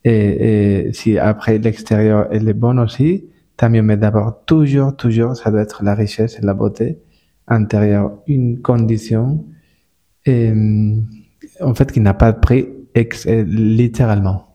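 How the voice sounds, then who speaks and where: Halting man with a Spanish-speaking accent. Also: fairly low pitch, steady tone, mellow. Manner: halting, many repeated words and restarts. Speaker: spa manager, guest. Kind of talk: casual podcast interview.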